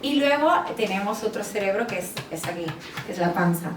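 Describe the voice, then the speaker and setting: A woman's voice: medium pitch, animated, brisk. A woman psychologist with an Italian accent, speaking with many hesitations and fillers at a book presentation. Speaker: psychologist and author. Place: bookstore event space. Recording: roomy, distant mic with background noise.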